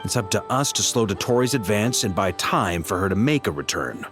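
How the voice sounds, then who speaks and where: A man's voice: on the low side, very animated, a little fast, over music. A man voicing a laid-back, self-effacing knight leader in a video game, speaking in a casual, wry, conversational way. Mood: serious, measured.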